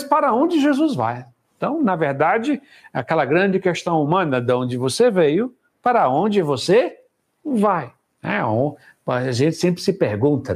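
A man gives a Bible lecture, his voice high and husky. He explains in a relaxed, conversational way, checking in with his listeners through frequent tag questions.

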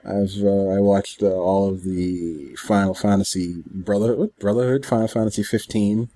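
A man co-hosting a podcast, chatting casually in a rambling, halting way. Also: deep voice, fairly even intonation, mellow. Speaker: podcast co-host. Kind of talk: casual chat about anime and games.

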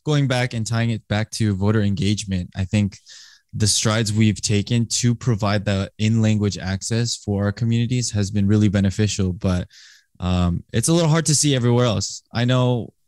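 A man hosts a podcast in an easygoing, conversational manner. His voice sits fairly low and is recorded dry and close. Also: moderate pace, darkish tone, dry recording. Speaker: podcast host. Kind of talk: casual interview conversation.

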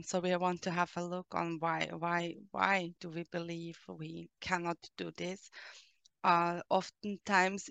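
A woman from Austria with an Austrian German accent, answering interview questions slowly and hesitantly, with frequent fillers, explaining her coaching work. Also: lowish voice, gravelly, fairly bright tone, clear, resonant tone, dry recording. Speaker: guest, coach who helps children and adults.

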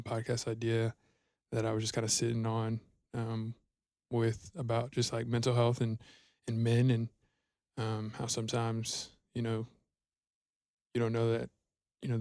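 Young dude talking real flat and monotone, lots of ums, dry mic. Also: male voice, medium pitch, no room sound. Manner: halting, lots of fillers, flat and earnest. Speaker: podcast co-host. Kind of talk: casual chat.

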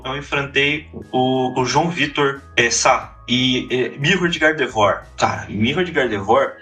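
Bright-voiced man recounting matches. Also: on the high side. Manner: relaxed storytelling with slang and frequent restarts. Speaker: podcast co-host, competitive card player. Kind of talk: casual podcast chat.

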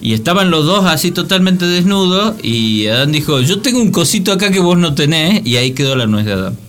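A man's voice: a little high, very animated, a little fast, a bit bright, soft background music. A man with an Argentine accent hosting a casual, joking radio chat, telling things like stories and acting out imagined dialogue. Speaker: radio show host. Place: radio studio.

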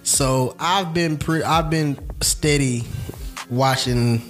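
A man's voice: mid-range voice, takes it slowly, a bit bright, soft background music. A young man co-hosting a casual sports chat show, talking in a laid-back, slangy, rambling way. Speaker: podcast co-host.

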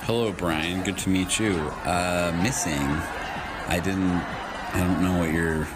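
A man's voice: deep voice, steady tone, a little slow, very noisy. A man playing a suave, courteous, flirtatious love interest in an improvised audio comedy. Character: suave, polite handsome male love interest. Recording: voice amid very loud music and crowd.

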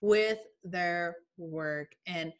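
Young woman podcast cohost, kinda monotone, casual, hesitant. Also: fairly low pitch, extremely slow. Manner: flat, fairly monotone delivery. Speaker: podcast co-host. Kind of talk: casual chat.

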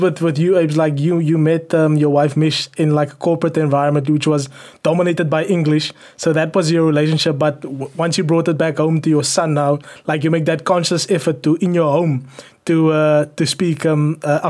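A man from South Africa steers a relaxed conversation, tossing in tag questions and fillers as he goes. His voice sits a little high for a man. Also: normal speed. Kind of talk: casual chat.

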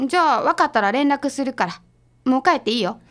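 A woman playing a bossy, prickly detective's assistant in a radio drama, brisk and huffy, with a Kansai accent. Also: high-pitched, expressive. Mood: grudgingly confident.

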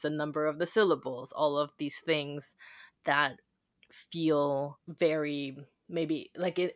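A woman's voice: low voice, no room sound, clean studio sound. A woman co-hosting a book podcast, talking in a thoughtful, reflective way with frequent fillers. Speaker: podcast co-host. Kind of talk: casual book discussion.

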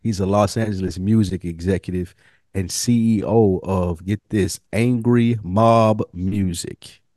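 Low, dry-sounding man on a podcast. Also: steady tone, a little slow, dark tone, no room sound. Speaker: podcast co-host. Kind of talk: casual discussion with researched facts.

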